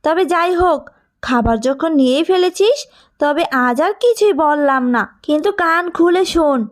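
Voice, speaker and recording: A cruel cartoon aunt, high-pitched, harsh and sneering as she scolds, as a woman puts her on. Her voice sits well above a typical woman's. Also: lively intonation, unhurried. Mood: scolding.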